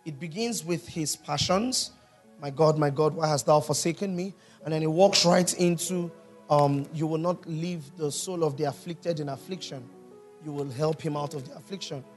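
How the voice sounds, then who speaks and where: A man's voice: a little high, normal speed, soft background music. A man preaching a sermon in a church, reading scripture and quizzing the congregation. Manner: teaching, asks the congregation questions. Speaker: pastor.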